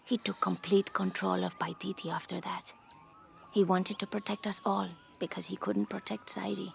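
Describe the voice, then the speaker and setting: A woman's voice: medium pitch, flat delivery, normal speed, vocal fry, a little hiss or hum. An actress playing a grave, reflective village woman telling a story in a video game.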